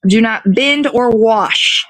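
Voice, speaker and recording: Rambling, higher-pitched woman chatting outdoors. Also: slow pace, fairly bright tone, dry recording. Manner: meandering, chatty, thinking aloud. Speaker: host of an online morning show. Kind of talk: casual rambling livestream chat. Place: outdoors in the cold.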